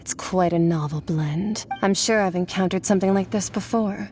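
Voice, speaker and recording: A woman's voice: fairly low pitch, normal speed, darkish tone, faint music behind, lightly acted. An actress playing a detached, enigmatic warrior woman in a video game, musing in a matter-of-fact way. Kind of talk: video game character dialogue.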